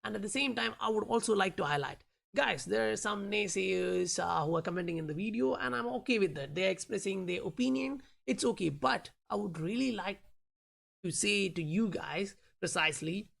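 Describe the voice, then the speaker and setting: A woman's voice: medium pitch, animated, normal speed, vocal fry. A woman with an Indian accent who is not a native English speaker, hosting a channel and explaining price charts with frequent fillers and restarts. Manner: explanatory, many fillers like "you know" and "uh". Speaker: crypto chart analyst, channel host. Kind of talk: talking through price charts in a vlog.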